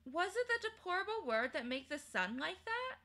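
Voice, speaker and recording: A woman's voice: very high voice, sing-song, moderate pace, sharp tone, pitched above the performer's own voice, exaggerated voice. A woman voicing an earnest young boy in a storybook reading.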